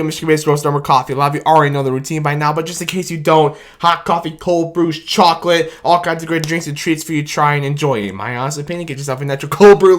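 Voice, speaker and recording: A man hosting a sports vlog, talking fast and hyped and laughing a lot between lines. His voice sounds a bit worn from cheering. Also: on the high side. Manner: hyped, laughing often, shouting "baby" and "man". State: losing his voice from excitement. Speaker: sports video host. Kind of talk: excited casual sports commentary vlog.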